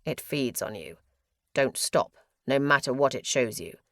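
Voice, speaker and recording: A woman voicing a grim young man who talks in low, clipped mutters. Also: lively intonation, unhurried, fairly bright tone, no room sound, studio quality, heavily acted.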